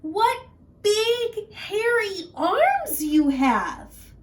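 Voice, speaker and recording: A woman voicing a sweet, curious young girl from a children's storybook, with drawn-out wonder in her words. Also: extremely high pitch, very animated, pitched above the performer's own voice, full character voice. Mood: surprised. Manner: bright exclamations, careful drawn-out wonder.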